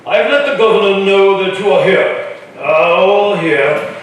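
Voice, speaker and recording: A man's voice: sits high, measured pace. A man playing a stern colonial official with formal delivery in a staged reading of a historical play. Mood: curt. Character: stern colonial men: indignant grandfather leader, curt governor's secretary. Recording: very echoey room, distant, faint noise.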